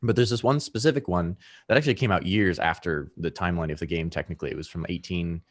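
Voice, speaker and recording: A man with a low voice, hosting a casual chat and explaining at length with many fillers and laughs. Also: quick pace.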